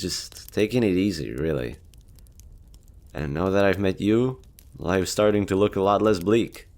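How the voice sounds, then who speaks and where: A man's voice: low-pitched, a little mellow. A man acting as a lonely, easygoing survivor of a nuclear apocalypse in an audio roleplay, talking casually and candidly with some hesitation.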